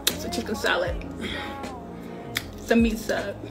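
A woman's voice: mid-range voice, steady tone, unhurried, music in the background. A young woman in her twenties chatting casually as she walks through a home cooking recipe, with lots of fillers. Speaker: cooking vlogger. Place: home kitchen, then a small bright bedroom.

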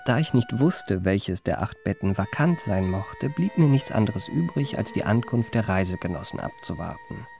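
An actor narrating a German radio play as an urbane confidence trickster recalling his youth, in measured, ornate, wryly genteel phrases. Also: lowish voice, sing-song, vocal fry, over music. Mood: wry, composed.

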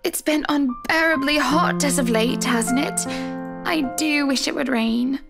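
A man voicing a young wife in a visual novel, in a raised, gentle voice. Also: very high-pitched, measured pace, creaky, over loud music, pitched above the performer's own voice, heavily acted. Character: young adult wife Hannah, gentle and wry.

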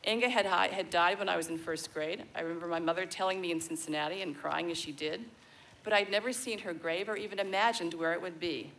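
Low-voiced American woman in her sixties. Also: a bit bright, a little hiss or hum, poor audio. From born in Denmark, raised in the United States. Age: 60s. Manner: measured, reflective storytelling. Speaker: guest speaker at a celebration. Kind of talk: prepared personal speech. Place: outdoor festival stage. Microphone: podium mic on a stand.

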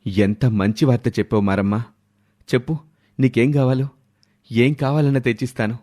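A doting village father played by a male narrator in a storybook cartoon. His medium-pitched voice is kindly, gentle and reassuring. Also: fairly even intonation, normal speed, lightly acted. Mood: delighted. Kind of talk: animated storybook character acting.

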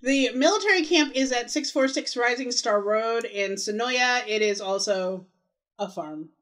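A woman's voice: fairly high pitch, lively intonation, unhurried, fairly bright tone. A woman co-hosting a podcast, chatting casually as she walks through details of a TV episode. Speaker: podcast co-host. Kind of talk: casual recap chat about a TV episode.

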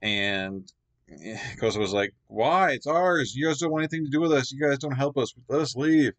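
A man's voice: mid-range voice, a little fast. A man in his thirties from Canada, hosting a reaction video from his home gaming room and rambling casually with lots of fillers. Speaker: reaction channel host.

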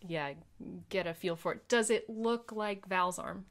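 A woman's voice: mid-range voice, measured pace. A woman player in a tabletop roleplaying game, chatting casually and partly in character.

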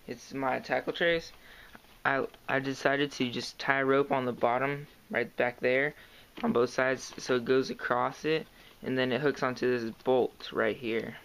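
A young man quietly showing off his kayak fishing gear in a casual, hesitant home vlog, filmed on a handheld camera indoors. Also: mid-range voice, gravelly. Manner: soft-spoken, hesitant, lots of fillers. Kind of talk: casual show-and-tell vlog. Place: indoors, carpeted room at home. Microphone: handheld camera close to the gear. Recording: noisy home camera recording.

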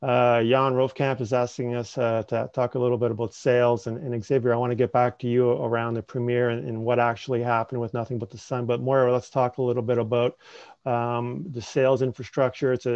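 Gravelly man moderating a video panel. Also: medium pitch, a little mellow, no echo. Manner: measured, hesitant, many fillers. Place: home study with bookshelves. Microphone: webcam mic on video call. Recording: dry, slightly dull video call audio.

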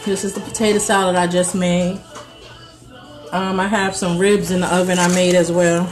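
Chatty Jersey woman cooking live. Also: mid-range voice. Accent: New Jersey. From New Jersey. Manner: chatty, talks to viewers while stirring. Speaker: home cook livestreaming. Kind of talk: casual cooking livestream chat. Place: home kitchen. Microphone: phone held over the stove.

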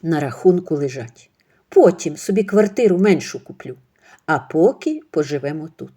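A woman voicing a pushy, self-pitying older mother-in-law who talks in a high, wheedling tone. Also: sing-song, moderate pace, warm tone, slightly rough, exaggerated voice. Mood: smug. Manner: shrill, wheedling, high-pitched.

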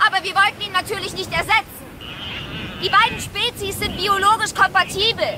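An actress playing a guilt-ridden geneticist and mother, speaking earnestly and often dropping into hushed tones. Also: very high-pitched, steady tone, a little fast, a crowd in the background, background noise. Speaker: scientist. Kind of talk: radio play acting.

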